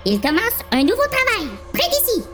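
A woman's voice: very high-pitched, wildly swooping pitch, fairly quick, loud music behind the voice, exaggerated voice. A woman playing a proper, concerned mother in an amateur horror story dramatization. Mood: explaining.

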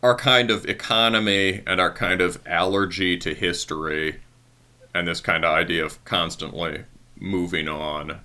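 A man's voice: low voice, fairly even intonation, unhurried, pushed and bright. A man interviewing a guest in casual conversation, rambling with many hedges and fillers and laughing easily.

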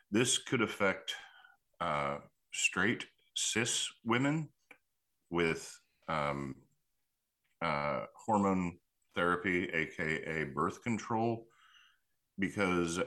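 A man hosting a casual discussion, talking in a measured, thoughtful way with many pauses. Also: low voice, pushed and bright.

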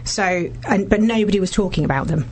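A woman in her forties with a husky voice, a business founder talking warmly and earnestly as a guest in a radio studio interview. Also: mid-range voice, a little fast, a little hiss or hum. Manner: warm, effusive, speaks in long earnest runs with restarts.

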